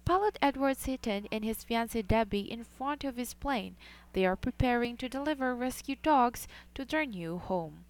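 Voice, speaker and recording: A woman with a somewhat high voice reads a scripted news feature. She keeps a measured, even news delivery throughout. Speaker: news reporter.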